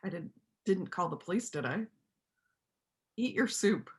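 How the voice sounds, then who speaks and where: A woman performing a reader's theater part as a gentle but firm pacifist country vet, earnest and plain-spoken. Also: lowish voice, lively intonation, measured pace. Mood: persuasive. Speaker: amateur church play performer.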